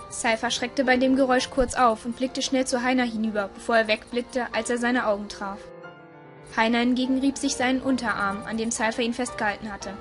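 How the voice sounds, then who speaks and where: A woman's voice: on the high side, a bit bright. A woman reading scripted story narration for an audio drama.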